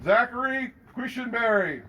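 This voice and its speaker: A man reads out graduates' names one at a time at an outdoor ceremony. His voice is big and projecting, pitched high, and swings widely as he calls each name. There is a faint outdoor hum behind him. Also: animated, moderate pace, muffled recording. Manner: loud announcing voice. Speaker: ceremony announcer. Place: outdoors at a campus.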